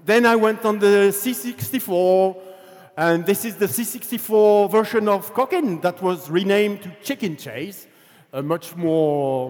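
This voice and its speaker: A man with a French accent tells his story from a stage in a loose, chatty way, dotted with fillers. His voice sits high. The sound rings out with a long reverb from a large hall. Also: a little mellow. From France. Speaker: game developer giving a talk. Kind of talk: conference presentation.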